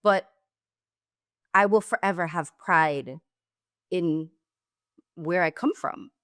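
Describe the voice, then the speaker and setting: A woman hosting a podcast, first generation in the US with Jamaican and Guyanese roots, talking in an animated, emphatic way. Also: on the low side, slow, smooth, no room sound, studio quality. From Jamaican and Guyanese heritage, first generation in the US. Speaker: podcast host. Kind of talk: conversational interview.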